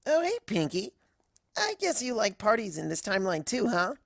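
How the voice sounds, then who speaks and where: A man's voice: sits high, wildly swooping pitch, normal speed, creaky, a bit bright, a little hiss or hum, voice pushed up, full character voice. Man as breezy, lilting female pegasus pony. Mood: playful, flirty. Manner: casual, playful. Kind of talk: storybook character dialogue.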